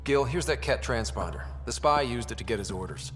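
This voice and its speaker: An actor playing a level-headed young space expedition leader in a video game, steady and informal. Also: male voice, mid-range voice, a little fast, natural voice. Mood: composed, decisive. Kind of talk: video game cutscene acting. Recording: long reverb, faint music behind.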